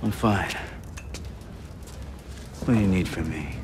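Low-voiced cynical loner thief. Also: male voice, slow, background noise. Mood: guarded cynical. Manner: terse, dry, guarded. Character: aloof, cynical male master thief, loner. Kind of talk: video game cutscene acting.

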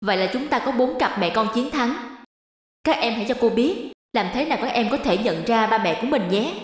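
A woman's voice: fairly high pitch, expressive, brisk, heavy reverb, big-room sound, soft background music, lightly acted. A woman voicing a cheerful primary school teacher politely hosting an event in a cartoon. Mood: cheerful, courteous. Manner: polite, clearly presenting. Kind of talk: animated fairy-tale dubbing.

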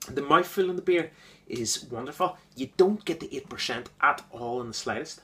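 A man giving an enthusiastic, casual beer review, repeating words and filling pauses with ums. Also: mid-range voice, expressive, a bit bright, slight background noise.

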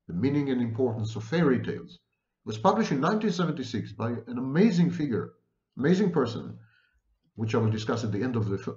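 A man in his fifties giving a formal lecture to camera, reading out quotations and commenting in a deliberate, emphatic manner. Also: mid-range voice, a little mellow. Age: 50s. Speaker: professor lecturing. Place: small room indoors. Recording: roomy, echoey, dull lo-fi webcam sound.

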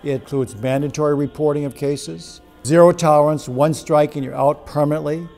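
A middle-aged priest argues his point insistently in a documentary interview. His voice is gravelly and warm, recorded close in a dim indoor room with soft music beneath. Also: a little high, fairly even intonation, moderate pace. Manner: emphatic, insistent.

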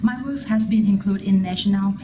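A young Thai-accented woman, a native Thai speaker, introduces her art in a formal, careful way. Her mid-pitched voice comes through over clear, steady background noise. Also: a little fast. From Thailand. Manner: formal, careful phrasing with small slips. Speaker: graduate art student. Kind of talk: self-introduction as an artist. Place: indoor art gallery.

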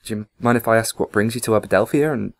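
A man's voice: lowish voice, quick pace, vocal fry. A man playing a wary, awkward, antisocial young man in an audio drama, hesitant when flustered.

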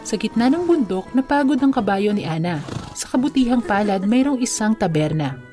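A woman narrates a children's fairy tale with a mellow, soft-edged tone. Her pitch sits in the middle, and music plays behind her. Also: expressive, moderate pace. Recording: music under the voice. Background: background music.

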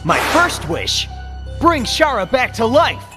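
Cocky young hedgehog hero, high lively voice, loud game music under it. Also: male voice, takes it slowly, exaggerated voice. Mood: determined. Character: brash young male blue hedgehog hero. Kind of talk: video game cutscene voice acting.

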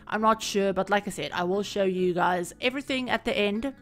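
A woman recording a casual, rambling voiceover for a home-building game video, her voice a little croaky from being sick. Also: mid-range voice, brisk, soft background music. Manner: rambling, chatty, many fillers. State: croaky from illness. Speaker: Sims build creator. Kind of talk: casual voiceover over gameplay.

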